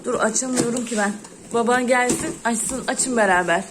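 Female, high voice, encouraging mum chatting with small kids in a noisy home video. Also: moderate pace. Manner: encouraging, praising, child-directed talk. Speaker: mother filming her children at home. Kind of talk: casual chat with young children. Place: indoors at home.

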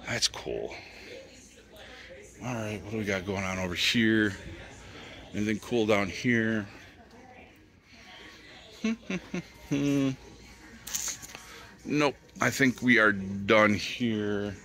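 This is a man with a fairly low voice that still cuts through brightly. He chats casually while browsing toy shelves, rambling and naming items as he spots them, excited by each find. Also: drawn-out words, long pauses, soft background music. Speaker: toy collecting vlogger. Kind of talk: casual store walkthrough commentary. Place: retail store aisles.

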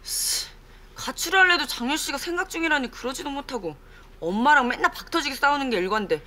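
Bratty, sharp-voiced young daughter. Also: female voice, high-pitched, lively intonation, fairly quick, slight background noise. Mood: sulky. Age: 20s. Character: defiant, grumbling grown daughter, sulky and bratty. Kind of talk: sitcom acting.

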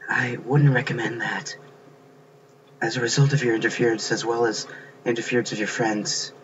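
A man's voice: on the low side, natural voice. A man voicing a somber, foreboding child ghost in a game, speaking in a formal, subdued way. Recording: dull, noisy recording.

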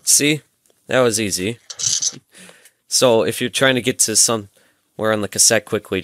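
A man's voice: on the low side, a bit bright, smooth, dry recording, clean studio sound. A young adult man, giving a casual hands-on demonstration and explaining informally, step by step. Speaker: presenter of a gadget tutorial. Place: small room at home, indoors. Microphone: headset microphone.

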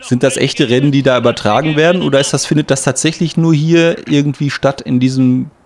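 A man's voice: mid-range voice, fairly even intonation, a little fast. A man giving casual gameplay commentary, thinking aloud in an offhand, colloquial way. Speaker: let's play host.

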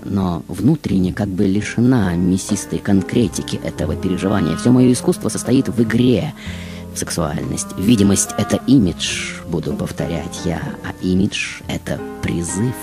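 A man playing a glamorous, vain, seductive female film star in a theatrical radio monologue, coquettish and full of dramatic pauses. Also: low-pitched. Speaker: radio show host. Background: music behind the voice.

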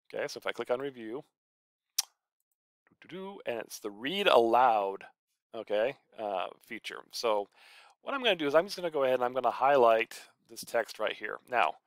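Man, smooth mid-range voice, dry sound, informal tutorial presenter talking through each step. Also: mid-range voice, fairly bright tone, dry recording. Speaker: college professor and author giving a software tutorial. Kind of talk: casual screencast tutorial.

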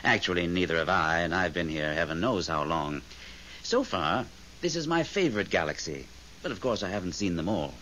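A man acting in a 1970s radio drama as a seasoned, easygoing spirit among the stars, wry and measured. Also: low voice, lively intonation, pushed and bright. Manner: wry, measured, deferential to superiors. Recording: dull, hissy old radio recording.

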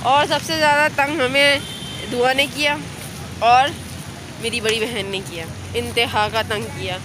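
A woman's voice: fairly high pitch, fairly even intonation, measured pace. A young woman narrating a casual shopping vlog to her phone camera, giving running commentary as she goes. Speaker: vlogger. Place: outdoors at a busy market street and in a car, then indoors at home. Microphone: handheld phone camera. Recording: loud street noise behind.

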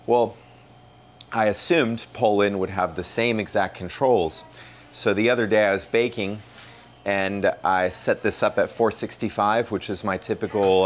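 A man in his thirties with an American accent, explaining in a relaxed way and giving a casual tour of a small bakery kitchen. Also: fairly low pitch, slight background noise. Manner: explanatory, relaxed, many "uh" fillers. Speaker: bakery owner.

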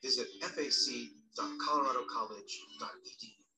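A woman's voice: extremely low pitch, a little fast. A woman reading scripted promotional narration as the narrator of a trailer for an audio play series. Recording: roomy playback with faint music behind.

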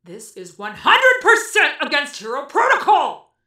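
A woman's voice: high voice, wildly swooping pitch, measured pace, pushed and bright, distant mic, exaggerated voice. A woman voicing a theatrical, commanding alien robot hero leader in a cartoon comic dub. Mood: frantic, angry. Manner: theatrical, authoritative. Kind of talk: animated comic dub acting. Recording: roomy, off-mic, music behind.

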